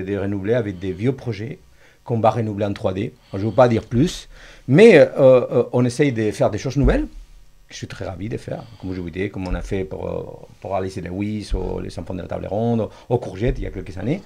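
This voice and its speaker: A man's voice: fairly low pitch, brisk, vocal fry, warm tone, husky. A man talking enthusiastically and at length as an interview guest, with rambling, slightly unidiomatic phrasing. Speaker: guest, animation artistic director. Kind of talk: enthusiastic conversational interview answers.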